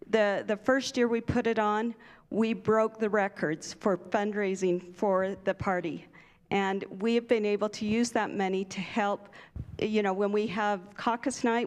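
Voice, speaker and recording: A woman's voice: mid-range voice, steady tone, measured pace, clean tone. A woman giving proud, conversational remarks at a party event. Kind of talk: public remarks. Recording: long reverb in a hall.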